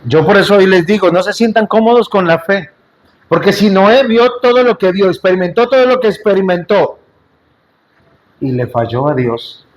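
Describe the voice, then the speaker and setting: A man with a fairly high voice preaching a sermon in a church. He drives each point home with repeated phrases and rhetorical questions to the congregation. He slips in small jokes and asides between them. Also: expressive, normal speed, rough recording. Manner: emphatic, repetitive rhetorical preaching with questions to listeners.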